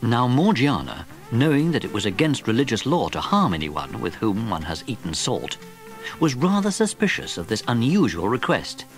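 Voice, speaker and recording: A man narrating a children's story from a script in an expressive storytelling manner. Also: medium pitch. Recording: vintage cassette tape. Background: music.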